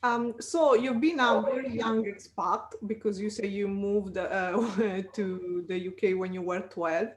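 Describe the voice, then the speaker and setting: A woman's voice: mid-range voice, steady tone, a little slow, hardly any pauses. A woman hosting a career podcast, reading a prepared introduction and then asking her guest questions. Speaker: podcast host.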